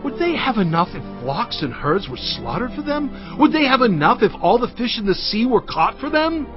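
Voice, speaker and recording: A man's voice: very high voice, sing-song, normal speed, dull audio. A man playing an impassioned prophet and leader in a dramatised scripture production, fervent and exclaiming. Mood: exasperated. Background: music.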